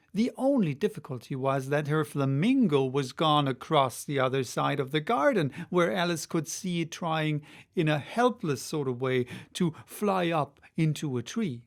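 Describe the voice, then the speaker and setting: A man narrating a children's story, reading aloud as an audiobook narrator. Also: fairly high pitch.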